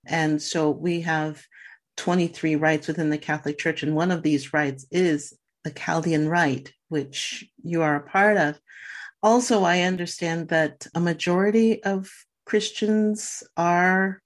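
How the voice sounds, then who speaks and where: A woman hosting a podcast, reading a scripted introduction and asking interview questions in a clear, deliberate way. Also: lowish voice, steady tone. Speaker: podcast host.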